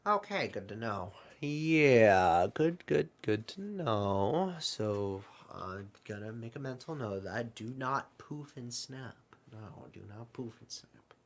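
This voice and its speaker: A man plays a flustered multiverse traveler in an improvised audio drama. He talks slowly in a mid-pitched voice, stammers, doubles back on words and thinks aloud, apologetic about being lost. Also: animated. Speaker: roleplay performer. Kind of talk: loose improvised audio roleplay.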